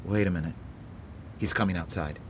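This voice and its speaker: An actor playing a terse, businesslike police sergeant who narrates the case in a clipped, matter-of-fact 1940s radio drama style. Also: male voice, sits low, brisk, a little hiss or hum, lightly acted. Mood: hushed tense. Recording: dull lo-fi radio sound with music bed.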